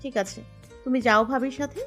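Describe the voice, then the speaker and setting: A woman's voice: high voice, fairly even intonation, normal speed, a bit bright. A woman playing a stubborn, hurt young woman who speaks in firm, curt replies in an audio story. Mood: reluctant, curt. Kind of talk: Bengali audio story dialogue. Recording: music bed under the voice.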